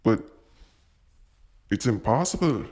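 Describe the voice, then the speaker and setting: A man playing a frightened witness on an emergency call, speaking haltingly in an amateur horror audio drama. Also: medium pitch, animated, darkish tone. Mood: uneasy. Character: frightened male witness calling emergency services.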